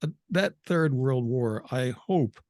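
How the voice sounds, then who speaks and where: A man's voice: mid-range voice, expressive, slow pace, darkish tone, no echo, studio quality. A man in his seventies hosting an interview, talking hesitantly and agreeably with frequent restarts. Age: 70s. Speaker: host. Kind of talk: conversational interview.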